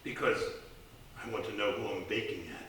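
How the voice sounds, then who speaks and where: A man's voice: lowish voice, fairly even intonation, brisk, natural voice. Man playing menacing prank caller, echoey distant stage. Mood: playful, probing. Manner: insistent, teasing, theatrical. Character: menacing male prank caller, playful horror-movie stalker. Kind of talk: live audience radio comedy parody. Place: small theatre stage, live. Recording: distant, echoey, noisy live theatre recording.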